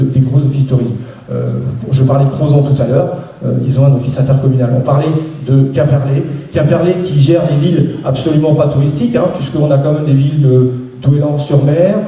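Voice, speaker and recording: A man's voice: a little high, warm tone. A man giving a local campaign speech, hesitant, with many restarts and fillers. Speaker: local election candidate. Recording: echoey room, voice from far off.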